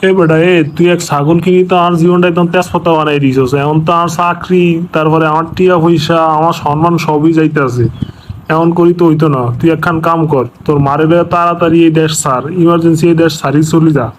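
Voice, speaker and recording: A man's voice: on the high side, fairly even intonation, fast, a little hiss or hum, close to an everyday voice, poor audio. An actor voicing a panicky, self-pitying corrupt official and father in a comic cartoon, speaking in a regional Bangladeshi dialect. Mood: urgent scolding.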